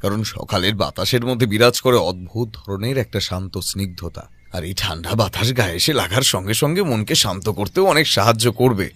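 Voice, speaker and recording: A man playing a calm, sharp-eyed private detective in an audio drama, speaking in a composed, conversational way. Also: fairly low pitch, brisk, breathy edge. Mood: serene. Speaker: voice actor in an audio story.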